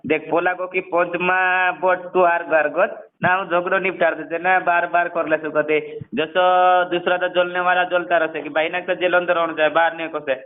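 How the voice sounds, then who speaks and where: A man's voice: on the high side, flat delivery, fairly quick. A man in a casual phone conversation, explaining things at length and repeating himself, on a narrowband call recording.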